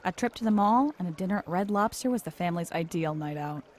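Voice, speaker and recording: A woman's voice: lowish voice, lively intonation, fast, darkish tone, close to an everyday voice. An actress playing a wry, exasperated young American career woman with a sardonic, candid manner in an audio drama. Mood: upbeat, teasing.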